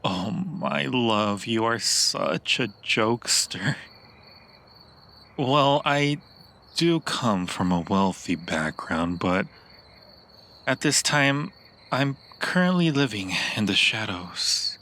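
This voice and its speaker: Guy doing a lowish gravelly vampire lover for asmr, romantic and possessive, takes his time. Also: expressive, bright, forceful tone, full character voice. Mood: coy, affectionate. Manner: slow, romantic, theatrical, lingering pauses. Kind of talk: intimate ASMR roleplay, improvised monologue.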